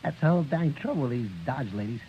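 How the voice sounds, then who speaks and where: Henchman: actor as a sneering cowboy, goading, lively pitch, muffled old radio. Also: quick pace, a little hiss or hum, close to an everyday voice. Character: sneering sidekick cowboy, grown man. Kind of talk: 1950s radio drama acting. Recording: muffled 1950s radio broadcast.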